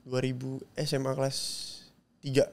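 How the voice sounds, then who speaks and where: A man in his twenties with a strong Balinese accent, an actor answering as a guest in a casual chat, easygoing and quick to laugh. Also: mid-range voice, monotone, vocal fry, darkish tone. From Bali, Indonesia. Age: 20s. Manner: easygoing, laughs often, short replies.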